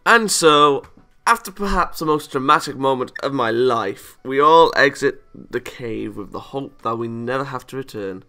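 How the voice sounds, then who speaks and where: A man's voice: mid-range voice, moderate pace, pushed and bright. A man reading a visual novel aloud as a let's player, stumbling over lines and reacting playfully with casual asides. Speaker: video game let's player.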